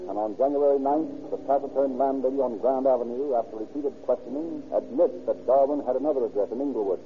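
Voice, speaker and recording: A man's voice: medium pitch, fast, a little hiss or hum, natural voice. A man as narrator of an old-time radio crime drama, delivering scripted lines in a formal, dramatic, declamatory way. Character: formal, urgent male crime-story narrator. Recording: tinny old radio recording.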